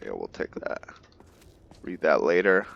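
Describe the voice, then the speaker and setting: Man, very low voice, unhurried, casual game role-play commentary, musing, lo-fi mic. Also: big pitch swings, vocal fry, fairly bright tone. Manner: musing, speaks as "we". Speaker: gamer narrating a role-play.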